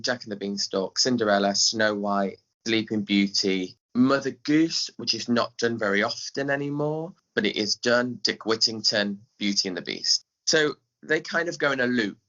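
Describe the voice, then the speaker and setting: A man's voice: mid-range voice, sharp tone. An English man speaking as an interview guest, explaining things in a chatty, informal way. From Skegness, England. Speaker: podcast guest, theatre director. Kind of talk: casual explanatory chat. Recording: dull, lo-fi audio.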